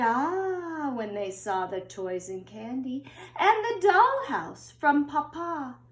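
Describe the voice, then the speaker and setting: High, lively storytelling woman in echoey room. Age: middle-aged. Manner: slow, deliberate, singsong storytelling addressed to children. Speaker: teacher reading a picture book to young children. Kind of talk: expressive children's storybook read-aloud. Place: indoors upstairs at home. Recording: roomy home recording with echo.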